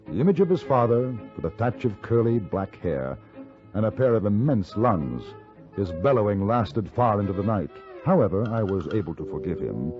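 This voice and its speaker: An actor playing a composed, principled gentleman traveller in a 1950s radio western, speaking in a measured, formal, dryly witty way. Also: fairly low pitch. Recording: muffled vintage 1950s radio recording.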